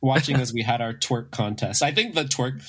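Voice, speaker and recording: A man with a raspy, slightly under-the-weather voice, telling stories casually as a guest, with lots of filler "like" and quoted dialogue. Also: mid-range voice, normal speed, slight background noise. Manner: animated storyteller with frequent "like" and quoting. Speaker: guest, drag performer. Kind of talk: casual conversational interview chat.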